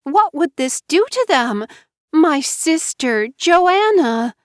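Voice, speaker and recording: A woman narrator voicing a manipulative young wife who pleads in a high, whiny little-girl voice through sobs. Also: sing-song, slow pace, no echo, studio quality, full character voice. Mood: plaintive. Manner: high little-girl whine, sobbing pleas.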